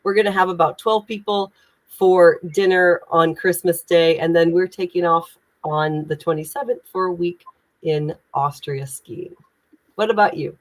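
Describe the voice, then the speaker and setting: A woman's voice: lowish voice, moderate pace, poor audio. A woman from Indiana with an American Midwestern accent, speaking as a communication coach in an emphatic, inspirational way on a video call. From United States (Indiana). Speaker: guest, executive communication coach. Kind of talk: LinkedIn live conversation.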